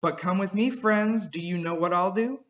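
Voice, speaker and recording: A man voicing a slick, fast-talking male salesman with a smooth, clear and keen sales pitch. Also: fairly bright tone, muffled recording. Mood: persuasive.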